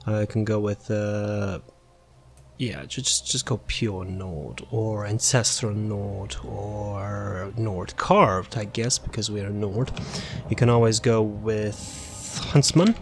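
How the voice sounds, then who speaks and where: Low-pitched man rambling through game commentary, noisy. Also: slow pace, creaky. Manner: rambling, thinking aloud. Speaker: video game build guide host. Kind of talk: casual unscripted gameplay commentary.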